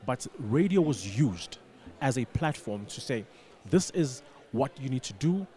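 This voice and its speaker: A male studio guest gives explanatory answers in a radio interview. He is deliberate, often repeating a word or phrase while he forms the next point. His voice has an average male pitch. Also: big pitch swings, mellow.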